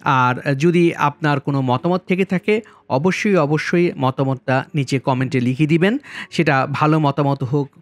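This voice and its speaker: A man narrating a vlog as its host, politely and in measured phrases, speaking directly to viewers. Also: fairly high pitch, no echo. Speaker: travel vlogger and host. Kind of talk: vlog voice-over narration with review commentary. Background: background music.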